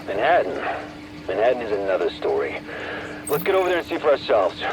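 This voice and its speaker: A man playing a brisk field commander in a video game, with a clipped, authoritative military delivery. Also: lowish voice, wildly swooping pitch, rough recording. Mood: commanding, approving. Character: brisk male field commander giving orders and praise. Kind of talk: video game cutscene acting.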